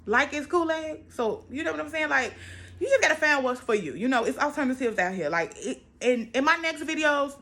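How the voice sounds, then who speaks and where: Woman, twenties, American accent, higher-pitched voice, casual vlog chat, emphatic, repetitive for stress. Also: animated, a little fast, fairly bright tone. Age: 20s. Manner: chatty, emphatic, repeats phrases for stress. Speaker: vlogger.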